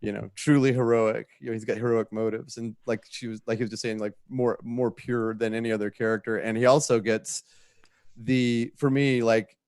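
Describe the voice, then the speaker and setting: Conversational man, mid-pitched and dry. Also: level tone, normal speed, darkish tone, clean tone, no room sound. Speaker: show creator, panelist. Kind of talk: casual panel chat.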